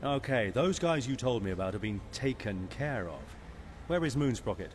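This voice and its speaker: A man's voice: mid-range voice, expressive, moderate pace, a little hiss or hum, close to an everyday voice. A man playing a sardonic, easygoing mercenary pilot in a space video game, with a wry, casual manner. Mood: wry, weary.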